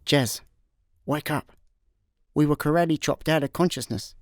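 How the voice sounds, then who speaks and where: A man playing a hapless, sardonic space layabout, deadpan and bemused, in a comic sci-fi radio play. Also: fairly high pitch, a little slow, no room sound, clean studio sound, close to an everyday voice. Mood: exasperated.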